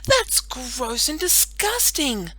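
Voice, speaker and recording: A dramatic, bossy schoolgirl as a woman acts her out in a children's audiobook. She talks urgently and with exaggeration. The pitch is high and swooping, the tone piercingly bright. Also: big pitch swings, slow, no echo, heavily acted. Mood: disgusted.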